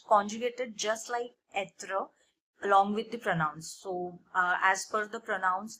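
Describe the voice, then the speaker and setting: A woman teaching a beginner language lesson, deliberately repeating phrases for learners. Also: medium pitch, pushed and bright, slight background noise. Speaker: language teacher and channel host. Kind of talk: beginner French lesson, explanatory.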